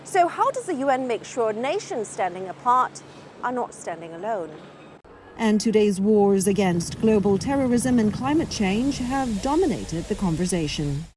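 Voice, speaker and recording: A woman news correspondent delivering formal, measured broadcast reports. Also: mid-range voice, big pitch swings, darkish tone, slight background noise.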